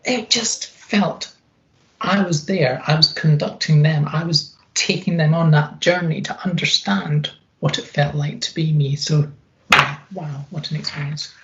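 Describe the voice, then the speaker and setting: A woman with a deep, gravelly voice, recounting her experiences in an emphatic, conversational interview style. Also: unhurried, a bit bright. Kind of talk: reflective personal account in an interview. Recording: dull, muffled lo-fi sound.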